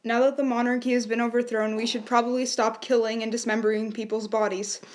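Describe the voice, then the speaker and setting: A woman's voice: a little high, little pitch movement, fast, natural voice. A woman voicing an exasperated, reasonable revolutionary deputy in a puppet show, plain-spoken and protesting.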